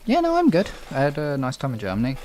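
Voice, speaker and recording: A man's voice: fairly high pitch, wildly swooping pitch, quick pace, a little mellow, slight background noise. A British man chatting casually on a livestream, replying to viewers in an informal, rambling, sweary way. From United Kingdom. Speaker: livestream host.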